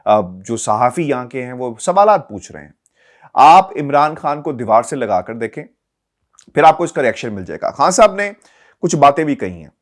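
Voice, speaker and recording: A man with a Pakistani accent gives political news commentary as a journalist, speaking emphatically and posing rhetorical questions to viewers. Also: medium pitch.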